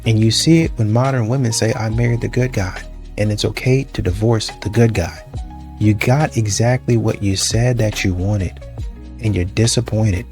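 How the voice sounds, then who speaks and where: A man with a fairly low voice talks through his opinions as a show host. He is casual and halting, circling back over his words, with music faintly underneath. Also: a little mellow. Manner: halting, repeats himself.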